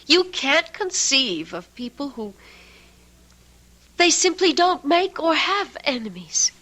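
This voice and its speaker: A woman's voice: high voice, very animated, slow, pushed and bright, exaggerated voice. A woman playing a devoted, anxious and stubbornly proud wife in an old-time radio drama, speaking with earnest, emphatic emotion. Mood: defensive, earnest.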